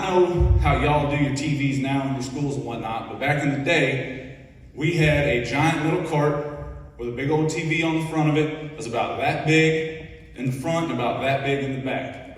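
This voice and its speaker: A man in his twenties from Ohio, with an Ohio accent, speaking to a school assembly in a relaxed, conversational way and often checking in with his listeners. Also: mid-range voice, moderate pace, recorded from a distance. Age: 20s. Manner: folksy and conversational, keeps checking in with the audience. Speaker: Navy petty officer, guest speaker. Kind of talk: informal speech at a school assembly. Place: large echoing school hall. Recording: echoey hall recorded from afar.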